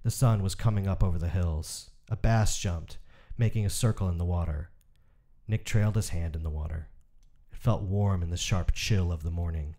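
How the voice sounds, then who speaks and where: A man's voice: low-pitched, normal speed, mellow. A man reading a short story aloud as literary narration for a podcast. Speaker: podcast co-host and story reader.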